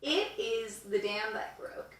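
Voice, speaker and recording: A woman in her twenties co-hosting a podcast, joking in a lively, playful way. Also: a little high, very echoey, recorded from a distance. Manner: lively, playful and quick to joke. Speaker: podcast co-host. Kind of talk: casual chat.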